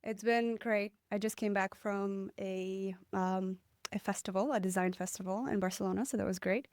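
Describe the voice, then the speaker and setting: A young woman from Poland, a podcast guest, talking in a reflective, relaxed way with light laughs. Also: medium pitch, normal speed. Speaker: guest, agency founder. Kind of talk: podcast interview.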